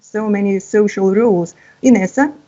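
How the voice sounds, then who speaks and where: A woman's voice: mid-range voice, moderate pace, warm tone. A woman, teaching an online English exam-prep lesson, hesitant with many fillers and encouraging. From Russia. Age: 50s. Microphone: video call. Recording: dull lo-fi call audio.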